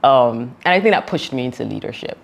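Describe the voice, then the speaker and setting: A woman's voice: extremely low pitch, lively intonation, fairly quick, creaky. Nigerian woman telling her story with laughs. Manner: earnest, laughs often, many "like" and "you know" fillers. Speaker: founder and podcast guest. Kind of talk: reflective conversational storytelling.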